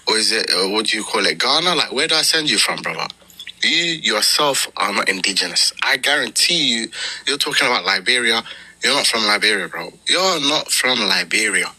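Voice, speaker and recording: A man's voice: mid-range voice, sharp tone, slightly rough, poor audio. A man from Somalia debating online in a confrontational, mocking way, firing off rhetorical questions. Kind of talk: heated online debate, trash talk.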